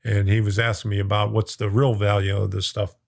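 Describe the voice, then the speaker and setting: A man's voice: fairly low pitch, flat delivery, brisk, creaky. A middle-aged man hosting a model-train live stream, chatting casually and reading viewer comments. His voice is getting worn out, and he chuckles often and greets viewers by name. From lives in Florida.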